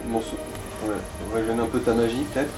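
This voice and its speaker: A man's voice: medium pitch, little pitch movement, a little fast, over music, rough recording. A man making wry, slangy remarks as co-host of a casual video game playthrough. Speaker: let's play co-host. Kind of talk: casual gaming commentary with a co-player.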